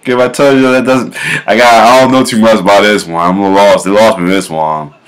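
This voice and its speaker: A man in his thirties with a low bass voice, casually reacting to memes at home, laughing often and breaking into off-key singing. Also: steady tone, brisk. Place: home kitchen, indoors. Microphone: webcam at close range.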